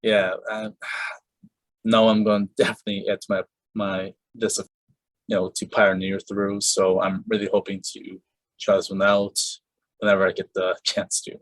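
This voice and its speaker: A man's voice: on the low side, completely flat delivery, moderate pace, no echo, low-quality recording. A man hosting an interview podcast, chatting casually and rambling with many fillers and restarts. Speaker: podcast host interviewing a voice actress.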